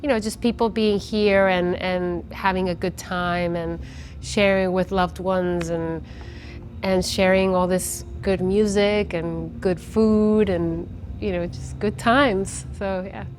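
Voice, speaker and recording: A woman in her forties, originally from Guatemala, talks casually about her music in an interview. She is chatty and often restarts her sentences. Her voice sits at a middle pitch, with faint noise behind her from the outdoor festival. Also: steady tone, slow, a little mellow. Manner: chatty with many restarts and fillers. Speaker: musician guest.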